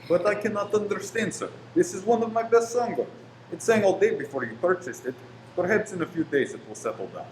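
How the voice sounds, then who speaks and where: A man's voice: high voice, animated, a little fast, a little mellow. A man acting in a radio play as a polite, reassuring shop professor who is secretly a bomb-making conspirator. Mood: obliging. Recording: roomy echo with faint noise.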